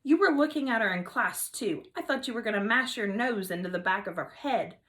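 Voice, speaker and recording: A woman's voice: fairly high pitch, expressive, fairly quick, fairly bright tone. Woman doing a brash, cocky schoolboy. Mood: teasing. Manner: slangy, teasing. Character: brash, cocky new schoolboy in third grade.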